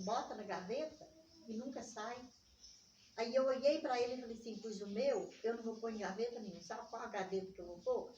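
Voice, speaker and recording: An older woman with a Minas Gerais accent recounts her life in conversation, slipping into quoted dialogue with animation. Her voice sits a little high. The sound is dull and roomy, picked up at some distance from the microphone. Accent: Brazilian Portuguese from Minas Gerais. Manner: animated, re-enacts remembered dialogue. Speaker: interviewee, community organizer. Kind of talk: personal storytelling in conversation. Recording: echoey room, distant mic, dull, faint noise.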